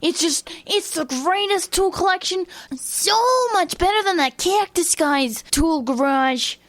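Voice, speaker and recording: A woman voicing a chirpy, fawning sidekick to a boastful boss, gushing and flattering, in a comedy radio play. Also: extremely high pitch, measured pace, dry recording. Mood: fawning, eager.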